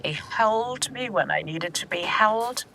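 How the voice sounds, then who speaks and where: Slow-spoken, candid elderly grandmother. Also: mid-range voice, expressive, sharp tone. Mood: somber, reflective. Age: older. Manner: reflective, measured storytelling. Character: elderly grandmother recalling her past, candid and steady. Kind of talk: audio drama acting.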